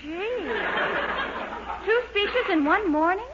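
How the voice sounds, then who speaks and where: Young sitcom girlfriend, very high pitch, sweet, patient, gentle, noisy vintage radio sound. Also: lively intonation, slow, heavily acted. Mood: mildly curious. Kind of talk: 1940s radio sitcom acting. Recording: noisy, muffled 1940s radio recording.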